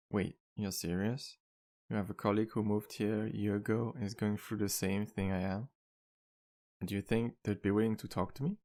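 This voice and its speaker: A man playing a charming, sweet vampire bartender in an ASMR roleplay, speaking softly, politely and earnestly to the listener. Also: lowish voice, creaky. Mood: hopeful, grateful. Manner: soft-spoken, polite, earnest, flirtatious. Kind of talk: ASMR roleplay, intimate one-sided dialogue to listener.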